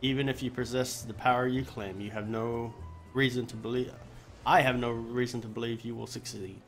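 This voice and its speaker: A man doing a live let's play, reading quest dialogue aloud haltingly and often restarting his phrases. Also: mid-range voice, level tone. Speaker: game streamer. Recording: music behind the voice. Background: game music.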